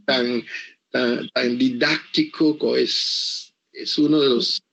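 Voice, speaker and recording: An older man from Costa Rica whose first language is English, answering interview questions about his books slowly, with many pauses and restarts. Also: mid-range voice, steady tone, creaky, a bit bright, low-quality recording. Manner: halting, full of pauses and restarts. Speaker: author being interviewed. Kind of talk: slow reflective interview answers.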